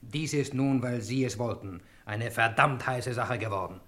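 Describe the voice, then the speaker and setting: A man's voice: medium pitch, normal speed, a bit bright, lightly acted. Self-assured, wry detective hero played by a man. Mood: triumphant. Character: cool, wry male detective hero confronting villains. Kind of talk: German radio crime play acting.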